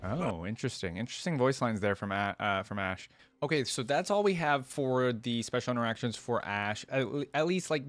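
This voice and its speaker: A man's voice: mid-range voice, no room sound. A man hosting a game reaction channel, chatting casually and enthusiastically as he comments. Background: faint music.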